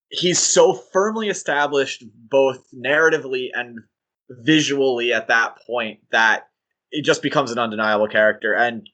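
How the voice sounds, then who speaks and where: A man co-hosting a podcast, talking in a casual, animated, rambling way with fillers and restarts. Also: mid-range voice. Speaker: podcast co-host.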